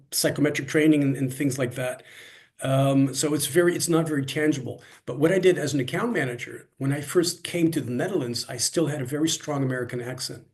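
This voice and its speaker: A man who grew up in Canada, speaking as a guest in a casual interview, thoughtful and often restarting his sentences. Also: a little high. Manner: thoughtful, many restarts and repeated words. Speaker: interview guest, cross-cultural coach. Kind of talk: conversational interview.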